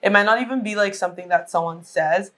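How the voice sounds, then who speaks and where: A man's voice: sits high, fast talker, bright, forceful tone, soft background music. A man speaking casually in an interview, often saying "like". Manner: casual, frequent "like". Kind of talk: casual testimony.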